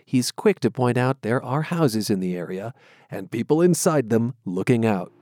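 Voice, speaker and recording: A male radio host with an American accent and a medium-pitched voice. He reads narration and puts questions to guests, recorded dry with very little room sound. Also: expressive, normal speed, darkish tone, no echo. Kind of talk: scripted narration and interview.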